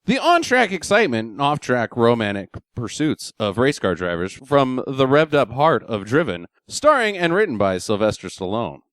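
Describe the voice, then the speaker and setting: A man's voice: medium pitch, big pitch swings, normal speed, hardly any pauses, a bit bright, clean tone, no room sound. A man hosting a podcast in casual chat, swearing freely and reading listener reviews aloud. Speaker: podcast host.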